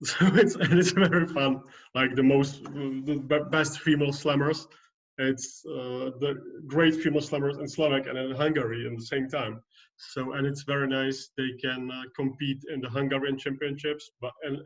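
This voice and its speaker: A man's voice: medium pitch, fairly even intonation, moderate pace. Man host, muffled lo-fi sound. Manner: friendly, repetitive fillers, non-native English. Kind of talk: casual chat. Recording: dull lo-fi sound.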